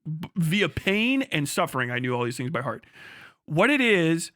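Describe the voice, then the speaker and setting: A man livestreaming in a casual, crude, profanity-laced storytelling style as he explains things in an animated way. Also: fairly high pitch, normal speed, no echo, studio quality. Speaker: livestream host.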